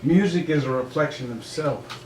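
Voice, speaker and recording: A man's voice: fairly high pitch, normal speed, a crowd in the background. A man in a casual podcast debate, explaining emphatically in slang and often checking that listeners follow. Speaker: podcast guest, singer and rapper. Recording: echoey, distant mic, faint room noise.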